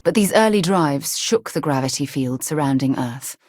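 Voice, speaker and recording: A low-pitched woman as a principled space explorer in a sci-fi game. She is composed and articulate, earnest with a wry edge. The recording is dry and close. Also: animated, moderate pace, a little mellow, no room sound. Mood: thoughtful, serious. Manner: earnest, thoughtful, dryly wry. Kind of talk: video game voice acting.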